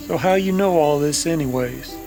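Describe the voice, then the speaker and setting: An actor playing a gruff, sarcastic bounty hunter with an in an old-time radio drama, dry and mocking. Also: male voice, fairly high pitch, moderate pace, a little mellow, soft background music, poor audio.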